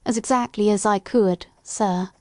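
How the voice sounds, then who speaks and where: A woman's voice: medium pitch, unhurried, darkish tone, no room sound, clean studio sound, natural voice. A woman playing a respectful but firm young Victorian office clerk in an audio story, formal and earnest. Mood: anxious.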